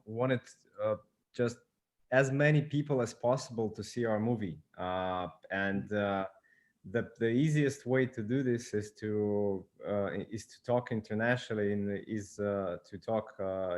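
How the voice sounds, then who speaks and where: A man who writes and produces films, answering interview questions hesitantly with many pauses. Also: lowish voice, fairly even intonation. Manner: hesitant, many fillers and restarts.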